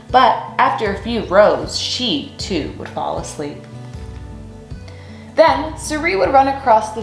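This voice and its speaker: A young woman reading a children's picture book aloud as a storyteller, with deliberate, expressive phrasing in a small room. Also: mid-range voice. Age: young adult. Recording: roomy echo, faint music underneath.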